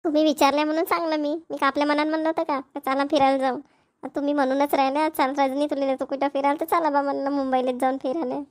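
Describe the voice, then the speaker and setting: Woman doing a homely village mom, high voice, chatty, rural maharashtrian accent, cartoon. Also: flat delivery, quick pace, natural voice. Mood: teasing, agreeable. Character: homely village wife and mother, chatty, agreeable. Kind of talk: cartoon family dialogue.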